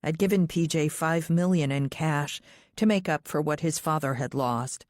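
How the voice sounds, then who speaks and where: A woman narrates a thriller audiobook in the first person. Her voice sits low, and the sound is dry with no room around it. The delivery is scripted storytelling. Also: normal speed, a little mellow, no room sound.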